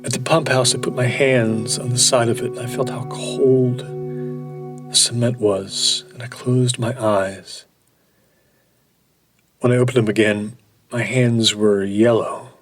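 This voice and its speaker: Man acting dazed haunted guy telling a horror story, real slow, music behind. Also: medium pitch, steady tone, close to an everyday voice. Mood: dazed, eerie. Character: dazed, haunted male witness of an eerie glow. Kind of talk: horror audio drama monologue.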